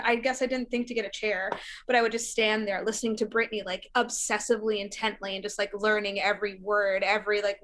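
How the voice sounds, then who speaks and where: A woman's voice: a little high, a bit bright. A young woman from Syria, a musician guest chatting casually, laughing often and using lots of fillers. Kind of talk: casual interview chat.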